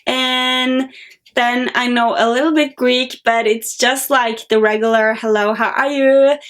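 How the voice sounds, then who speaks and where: A woman's voice: on the high side, steady tone, measured pace, fairly bright tone. A young woman from Norway with a Norwegian accent, chatting casually as a beauty vlogger answering viewer questions. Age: young adult. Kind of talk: casual Q&A vlog.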